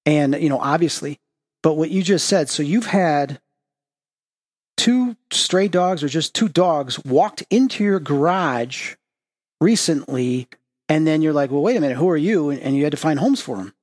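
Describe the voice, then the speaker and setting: A man hosts a podcast in a fairly high voice. He interviews his guest with encouraging, curious questions in a casual tone. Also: lively intonation, normal speed, darkish tone. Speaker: podcast host.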